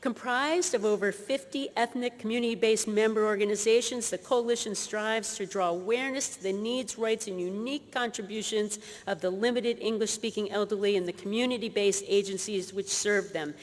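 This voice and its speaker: A woman presenting an award and reading the citation in a formal, deliberate way at a conference. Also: mid-range voice. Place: large conference hall. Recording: hall reverb with crowd.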